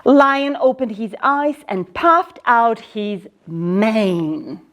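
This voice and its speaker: A woman's voice: fairly high pitch, very slow. A woman reading a children's storybook aloud dramatically, speaking directly to young listeners. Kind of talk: expressive storybook read-aloud.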